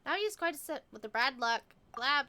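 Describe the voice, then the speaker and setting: A woman livestreaming a game, chatting casually and excitably to her chat. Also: sits high, normal speed, heavy background noise. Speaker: live streamer. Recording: faint game music behind. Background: game music.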